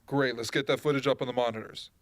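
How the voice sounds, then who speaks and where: A man's voice: medium pitch, fairly even intonation, fast talker, fairly bright tone, slight background noise, close to an everyday voice. A man playing a businesslike propaganda director giving orders in an amateur radio drama. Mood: commanding.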